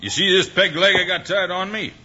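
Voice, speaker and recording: Husky, forceful western outlaw boss. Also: male voice, high-pitched, fairly quick, exaggerated voice. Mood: bitter. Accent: American Western. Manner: curt, commanding. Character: gruff, domineering peg-legged western outlaw boss. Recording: muffled old-time radio recording.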